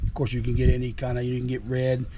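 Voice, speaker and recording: Hoarse man, casual and eager show-and-tell, noisy outdoor take. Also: medium pitch, completely flat delivery, fast talker. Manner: enthusiastic, repetitive, folksy. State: hoarse from illness. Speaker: trucking vlogger. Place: outdoors at a house.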